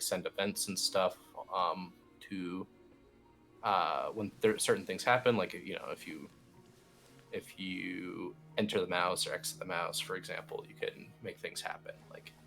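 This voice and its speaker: A man in the role of instructor, casually walking someone through a software tutorial in an easygoing, informal way. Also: low-pitched, steady tone, moderate pace, creaky. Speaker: instructor, team lead. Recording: music playing behind the voice. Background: background music.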